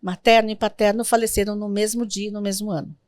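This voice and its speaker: A Brazilian woman in her fifties, a guest in an interview, telling family stories in a reflective, gentle way. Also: medium pitch, level tone, fast talker, no echo. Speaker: guest, sworn translator. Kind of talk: interview conversation.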